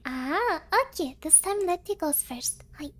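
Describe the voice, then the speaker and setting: A young woman chatting casually as a streamer in a cutesy manner, with soft interjections and some Japanese words mixed in. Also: high voice, animated, measured pace. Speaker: VTuber co-host. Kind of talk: casual livestream chat.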